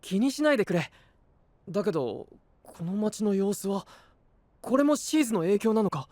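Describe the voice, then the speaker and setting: Mellow, earnest young hero. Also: male voice, high voice, very animated, moderate pace, no room sound. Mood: concerned. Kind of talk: Japanese anime-style audio drama acting.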